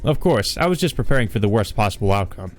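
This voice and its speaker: A confident male investigator from a visual novel , read by a man, talks in a casual, wry, assertive way. The voice sits fairly low over faint music. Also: lively intonation, quick pace, natural voice. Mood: smug.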